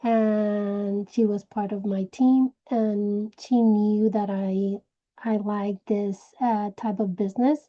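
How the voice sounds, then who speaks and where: A woman from Puerto Rico with a Puerto Rican Spanish accent, Spanish her first language, telling her personal story slowly and searching for words. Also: medium pitch, fairly even intonation, highs cut off. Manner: slow, searching for words, many restarts. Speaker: direct sales director. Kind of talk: personal story told to a group.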